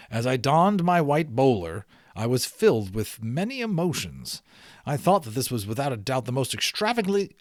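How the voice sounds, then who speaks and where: A man narrating a storybook aloud in an expressive, explanatory way, as an audiobook reader. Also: medium pitch, dry recording, clean studio sound.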